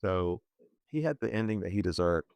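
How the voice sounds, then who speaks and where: A man discussing films conversationally as a podcast host, in a halting, self-correcting way. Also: low-pitched, expressive, creaky, a little mellow, smooth, no echo. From moved to Zambia at eleven, schooled in Kenya.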